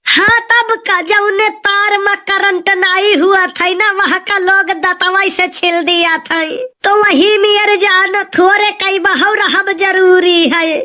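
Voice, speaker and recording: Very shrill, scolding Awadhi cartoon mother. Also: fairly even intonation, slow pace, sharp tone, exaggerated voice. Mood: argumentative. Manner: scolding, argumentative. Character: sharp-tongued village mother, scolding wife, doting on son. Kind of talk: comic cartoon dialogue.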